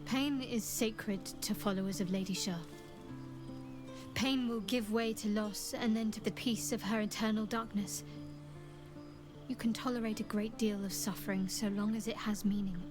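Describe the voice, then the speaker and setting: An actress playing a guarded, devout young female cleric in a video game, measured and dryly wry. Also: medium pitch, fairly even intonation, natural voice. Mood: solemn, devout. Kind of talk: video game dialogue acting. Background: game music.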